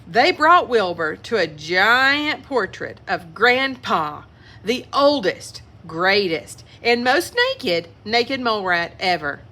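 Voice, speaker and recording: A woman's voice: medium pitch, sing-song, slow pace, pushed and bright. A woman reading a children's picture book aloud expressively, with asides to young listeners. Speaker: children's story reader.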